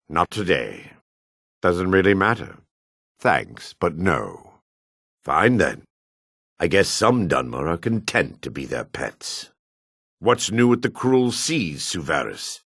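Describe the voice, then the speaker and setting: An actor playing a jaded, sardonic male dark elf in a fantasy video game, with a husky voice. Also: low voice, no room sound, studio quality, heavily acted. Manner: sardonic, disdainful. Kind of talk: video game character voice acting.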